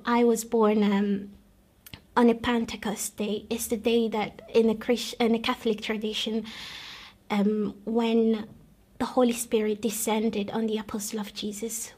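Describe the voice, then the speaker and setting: A young woman from the Democratic Republic of Congo telling her own life story in an earnest, measured way, pausing and restarting often. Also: a little high. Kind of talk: personal testimony, recalled storytelling.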